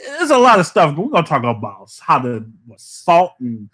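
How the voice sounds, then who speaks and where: A man's voice: fairly high pitch, huge pitch swings, moderate pace, breathy edge. Laid-back man hosting a podcast. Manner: laid-back, says "man" constantly, shouting out players. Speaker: podcast host. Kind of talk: casual podcast chat.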